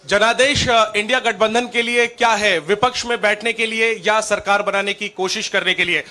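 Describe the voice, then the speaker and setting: A man asks press conference questions as a reporter. His voice is extremely high and sharp, and he presses insistently, repeating phrases to get through. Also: fairly even intonation, normal speed.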